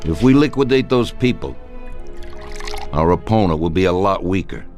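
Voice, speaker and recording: A man's voice: deep voice, animated, moderate pace, darkish tone. A man playing an older, commanding, vengeful mob boss with tough streetwise talk in a dramatic video game. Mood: grave. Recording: music behind the voice.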